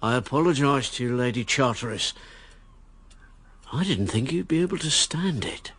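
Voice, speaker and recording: An actor playing a brash, resentful, brutish political candidate in a radio drama, abrupt and sardonic. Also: male voice, medium pitch, animated, moderate pace, fairly bright tone, husky. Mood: cold.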